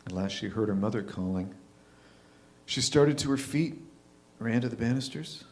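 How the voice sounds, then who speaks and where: A man's voice: medium pitch, moderate pace. A man giving a dramatic reading of literary fiction as a theatre reader.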